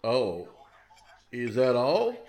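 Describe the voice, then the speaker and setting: A man's voice: medium pitch, wildly swooping pitch, very slow, darkish tone, exaggerated voice. A man playing a grumbling, surly cowboy range boss in an old-time radio Western.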